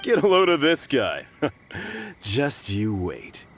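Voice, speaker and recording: An actor playing a bullying, mocking thug who jeers and laughs at others. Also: male voice, medium pitch, wildly swooping pitch, slow, fairly bright tone, a little hiss or hum, heavily acted. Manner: mocking, cackling. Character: sneering adult male thug, bullying.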